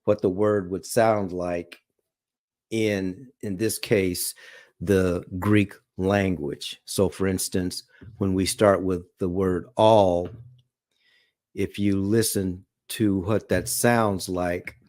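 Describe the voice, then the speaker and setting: A man's voice: sits low, level tone, darkish tone, no room sound, studio quality. A man teaching a Bible study lesson, reading scripture aloud and checking in often with "okay?" and "all right?". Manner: reads scripture aloud slowly.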